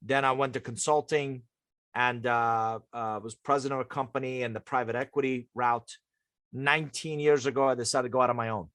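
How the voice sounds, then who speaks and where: A man's voice: mid-range voice, fairly even intonation, fairly quick, fairly bright tone, dry recording. A man in his fifties from Iran, a native Persian speaker, talking conversationally and emphatically as an interview guest who coaches leaders. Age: 50s.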